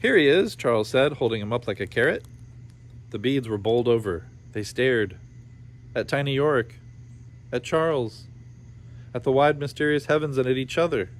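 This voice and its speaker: A man reading a storybook aloud with expressive narration. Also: mid-range voice, normal speed, long pauses. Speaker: storybook reader.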